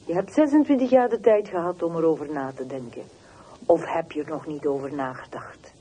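An actress playing a bitter, wounded middle-aged woman on the telephone in a radio play, terse and reproachful with deliberate pauses. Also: sits low, mellow, natural voice. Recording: noisy old radio drama recording.

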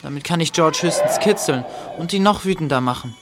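An anxious, earnest young nephew played by a male actor in a radio play. His voice sits on the high side and sounds urgent and sincere, with clear music behind it. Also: a little fast, close to an everyday voice. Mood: tense. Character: anxious, earnest orphaned boy, lion keeper's nephew. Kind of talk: German audio drama acting.

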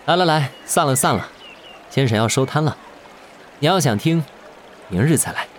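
A man playing a gentle but defiant, self-sacrificing young immortal in an audio drama, with earnest, expressive delivery. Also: medium pitch, normal speed, a little hiss or hum, lightly acted. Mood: teasing. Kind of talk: Chinese audio drama acting.

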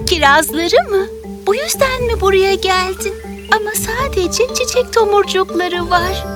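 Very high, childlike, showy winged insect. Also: female voice, animated, a little slow. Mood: curious. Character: vain, friendly little winged insect friend. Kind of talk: children's audio storybook character acting. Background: music.

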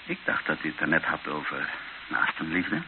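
An actor playing an earnest, patient priest who heads a mission in a radio drama, gravelly, measured and gently insistent. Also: male voice, a bass voice, sing-song, heavily acted. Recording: noisy, narrow-band old radio recording.

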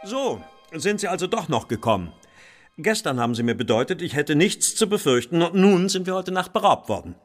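An actor playing an agitated, well-to-do married gentleman in a German radio drama, speaking formally and fretfully. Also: fairly high pitch, very animated, fairly quick, faint music behind.

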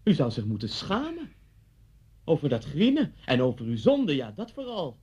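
A man's voice: on the high side, wildly swooping pitch, moderate pace, warm tone, husky, dull audio, heavily acted. An actor playing a vengeful, deranged middle-aged man in a radio play, mocking and theatrical as he threatens someone. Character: vengeful, deranged man taunting his old schoolteacher at gunpoint.

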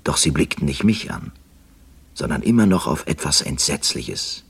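Very deep, gravelly crime-story sleuth. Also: male voice, expressive, normal speed, exaggerated voice. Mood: ominous, haunted. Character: crime writer turned sleuth, narrating his own case. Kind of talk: 1970s German radio crime drama.

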